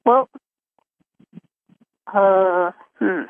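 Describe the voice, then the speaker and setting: Woman, casual musing talk, long pauses, very slow pace, medium pitch, telephone band. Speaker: regular guest on a call show. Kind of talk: casual chat. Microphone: telephone conference call. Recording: narrow, muffled telephone line.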